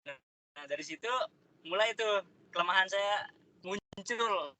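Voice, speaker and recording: Bright-voiced young man chatting casually. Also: sits high, slow pace, slight background noise, poor audio. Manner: relaxed, slangy, chatty. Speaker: interviewee, trader. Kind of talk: casual interview chat.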